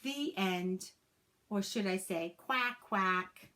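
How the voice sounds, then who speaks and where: Female storybook reader, mid-pitched, unhurried and expressive, read-aloud for young children.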